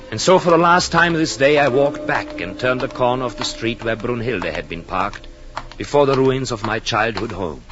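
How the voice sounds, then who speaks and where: An actor as a solemn radio-drama husband, music behind. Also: male voice, mid-range voice, highs cut off, lightly acted. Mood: weary. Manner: solemn, literary, measured delivery with dramatic swells. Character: earnest, brooding European husband and expectant father, narrating his journal. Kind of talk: 1940s radio drama acting.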